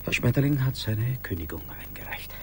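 An actor playing a smug, condescending office colleague in a German radio drama, reasoning and lecturing in a declamatory way. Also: male voice, medium pitch, lively intonation, brisk, in a whisper, lightly acted. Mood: placating, calm.

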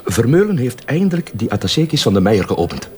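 Animated, showy policeman, played by a man. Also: mid-range voice, fast talker, natural voice. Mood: playful, triumphant. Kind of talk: radio drama acting.

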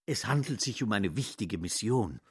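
An actor playing brash, bossy underworld men in a radio play, emphatic and theatrical. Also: male voice, on the low side, lively intonation, normal speed, husky.

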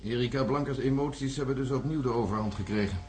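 A man's voice: mid-range voice, fast, a little mellow, a little hiss or hum, natural voice. An actor playing a cool, self-controlled male scientist with a clipped, unemotional manner in a science fiction radio drama. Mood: cool, detached.

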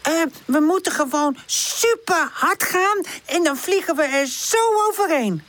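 Woman playing a tiny boy animal, very high, animated, childlike, eager, a little timid, storybook. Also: exaggerated voice. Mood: cheerful. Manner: childlike, halting when scared.

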